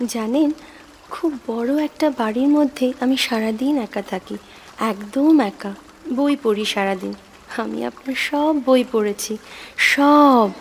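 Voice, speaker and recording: Lonely young woman, high, reverberant. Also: slow pace, a little hiss or hum. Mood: earnest, intense. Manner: hesitant, earnest, lingering on words. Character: lonely, intense young woman, devoted reader. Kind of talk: Bengali audio drama acting.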